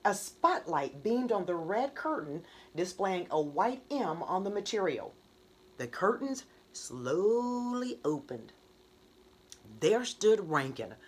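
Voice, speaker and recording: A woman reading her children's book aloud in an expressive, dramatic storytelling manner. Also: lowish voice, breathy edge. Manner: animated, dramatic read-aloud delivery.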